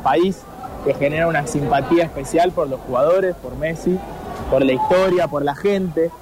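A man with an Argentine accent reporting live in an informal, halting way, repeating himself as he goes. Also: fairly high pitch, poor audio. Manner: informal, colloquial, halting with repetitions. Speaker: on-location sports reporter. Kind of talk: live unscripted match-day report. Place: outdoors in a city by a metro. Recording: clear outdoor urban traffic noise.

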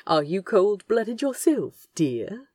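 A woman playing a gentle, smitten, politely teasing man in audio fiction, speaking with precise, soft phrasing. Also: mid-range voice, big pitch swings, slow pace, no echo, studio quality. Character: male Aziraphale, gentle, delighted, teasing, smitten know-it-all.

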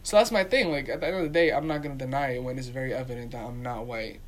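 A man's voice: mid-range voice, racing, a little hiss or hum. A man talking casually and with opinion, speaking emphatically and repeating his points for stress. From Dominican community.

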